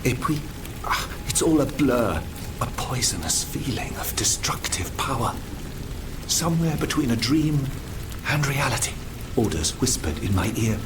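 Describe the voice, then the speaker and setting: A man playing a troubled, earnest French gentleman scientist in a video game, formal and theatrical. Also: mid-range voice, big pitch swings, unhurried, background noise. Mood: haunted, confused. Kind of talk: dramatic video game cutscene acting.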